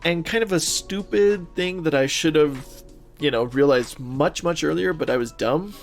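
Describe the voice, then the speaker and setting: A man co-hosting a podcast, chatting casually and a little haltingly, often feeling his way through his thoughts. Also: on the high side, soft background music. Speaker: podcast co-host. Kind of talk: casual chat about movies and video games.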